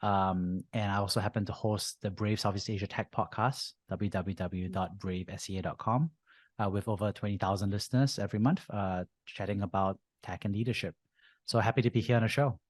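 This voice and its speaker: A man's voice: lowish voice, steady tone, brisk, no room sound. A man in his thirties from Singapore, a venture capitalist telling his story as a podcast guest, with frequent fillers. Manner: frequent uh and you know fillers, easygoing. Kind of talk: casual interview storytelling.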